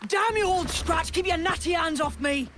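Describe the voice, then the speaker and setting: A tough young pirate assassin, blunt and defiant. The delivery is that of a video game cutscene, with music playing clearly behind the voice. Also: female voice, very high voice, moderate pace. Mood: angry, defiant.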